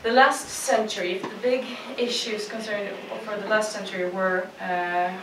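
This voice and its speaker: A woman's voice: mid-range voice, steady tone, normal speed. A woman with a Swedish accent, a panelist, speaking earnestly with many fillers. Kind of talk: panel discussion remarks. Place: seminar room with audience. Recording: distant, roomy, noisy.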